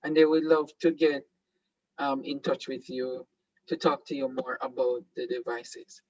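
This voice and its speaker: A man's voice: medium pitch, level tone, a little slow, darkish tone, highs cut off, a little hiss or hum. A Jamaican man whose first language is Patois hosts an online seminar, talking hesitantly with many fillers and restarts. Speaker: host.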